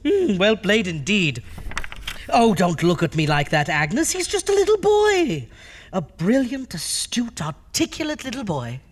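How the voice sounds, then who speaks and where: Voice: medium pitch, huge pitch swings, a little slow, a little hiss or hum. Undead, wildly swooping horror host. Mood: mock-sweet. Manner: theatrical, alliterative, mock-sweet. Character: undead doctor, flamboyant, ghoulish horror show host. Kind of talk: campy horror radio hosting.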